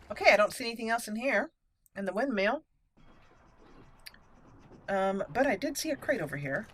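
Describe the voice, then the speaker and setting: A woman giving playful, expressive let's play commentary, chatting and thinking aloud as she explores a game. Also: a little high, a little slow, pauses often. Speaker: gaming video host.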